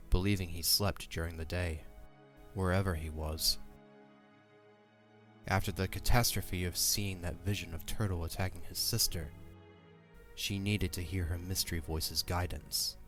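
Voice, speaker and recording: A man's voice: low-pitched, a bit bright. A man reading scripted narration for an audio drama as its narrator.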